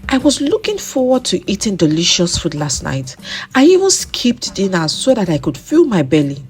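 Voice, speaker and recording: A woman's voice: mid-range voice, sing-song, normal speed, darkish tone, faint music behind, natural voice. A woman voicing a hungry, mischievous young village boy in a storybook tale. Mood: complaining.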